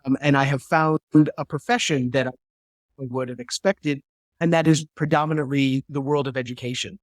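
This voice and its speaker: A man's voice: mid-range voice, fairly even intonation, moderate pace, dry recording, studio quality. A man, a guest historian giving a thoughtful interview answer over a video call, repeating words as he searches for phrasing. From New Hampshire, USA.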